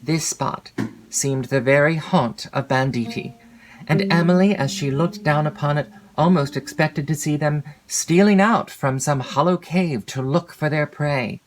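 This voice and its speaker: A woman reading a classic literary novel as an audiobook narrator, measured and expressive, lingering over descriptive phrases. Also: low-pitched, rough recording.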